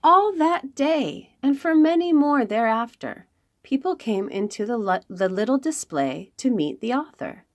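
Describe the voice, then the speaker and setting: A woman reading aloud to young children as a storytime host, expressive, with dramatic emphasis and pauses. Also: a little high.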